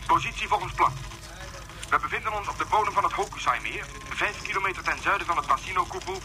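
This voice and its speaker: An actor in a Dutch radio drama playing an efficient spaceship officer, giving clipped, formal reports over a radio link. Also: male voice, high voice, fast, sharp tone. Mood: businesslike.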